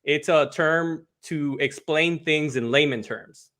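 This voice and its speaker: A man's voice: a little high, slow, fairly bright tone. Laid-back man hosting a chat. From Puerto Rico. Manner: friendly, laid-back, uses "dude" and "man". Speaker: podcast host. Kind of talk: casual chat.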